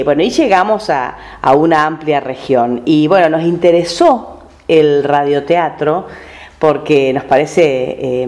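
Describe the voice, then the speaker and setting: Argentine radio guy doing an interview, voice a bit on the high side, informal. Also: darkish tone.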